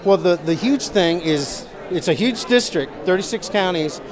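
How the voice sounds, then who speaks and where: A man's voice: high-pitched, lively intonation. A man in his forties from Missouri, a political candidate, answering interview questions earnestly with many restarts and uhs in a large convention hall. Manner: earnest, halting with repeated words and uhs. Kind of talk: on-the-spot interview. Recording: busy crowd noise behind. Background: crowd chatter.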